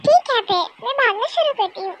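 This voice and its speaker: A woman voicing a tiny, friendly female ant with a squeaky, childlike way of talking in a children's cartoon. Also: lively intonation, fairly quick. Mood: playful. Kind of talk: children's cartoon story dub. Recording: clearly noisy cartoon soundtrack.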